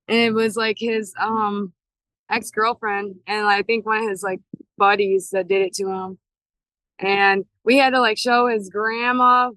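A woman's voice: medium pitch, a bit bright, dry recording, rough recording. A woman, a paranormal investigator, telling a story in loose, chatty speech with lots of fillers. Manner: loose, filler-heavy, laughs easily. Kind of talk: casual storytelling chat.

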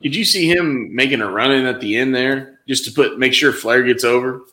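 A man's voice: mid-range voice, moderate pace, pushed and bright, echoey room. A man co-hosting a casual podcast, rambling with many fillers and restarts. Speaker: podcast co-host. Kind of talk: casual wrestling podcast chat.

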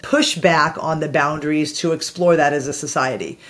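Low-voiced woman thinking aloud. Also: moderate pace. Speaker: podcast guest. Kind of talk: reflective conversational explaining.

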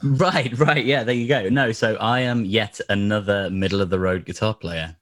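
A slightly hoarse man chatting as a podcast guest, rambling with many fillers and restarts. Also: lowish voice, steady tone, normal speed, fairly bright tone, no room sound, clean studio sound. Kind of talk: casual chat.

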